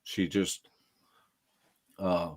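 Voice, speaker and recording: A man telling stories casually as a podcast guest, in a folksy, unhurried way that circles back on itself. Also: low-pitched, level tone. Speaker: podcast guest, field investigator. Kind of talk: casual storytelling.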